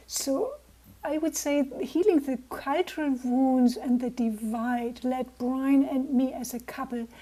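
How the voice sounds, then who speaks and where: A woman in her sixties from Germany, with a German accent, speaking gently and reflectively as a guest speaker to a club. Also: sits high, poor audio. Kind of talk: personal talk to a club.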